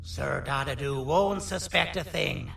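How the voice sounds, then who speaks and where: Game creature, persuasive schemer, gravelly high-set voice, theatrical, recorded distant. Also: male voice, a little slow, exaggerated voice. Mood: eager scheming. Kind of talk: video game cutscene voice acting. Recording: echoey, distant, loud eerie music behind.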